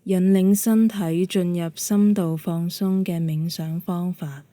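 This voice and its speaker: A woman with a Hong Kong Cantonese accent leading a guided meditation in a calm, gentle, measured manner. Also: fairly low pitch, dry recording. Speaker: yoga nidra meditation guide.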